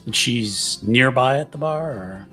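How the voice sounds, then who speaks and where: A man's voice: mid-range voice, lively intonation, unhurried, sharp tone, soft background music. A man playing a tabletop roleplaying game, chatting informally as he describes what his character does.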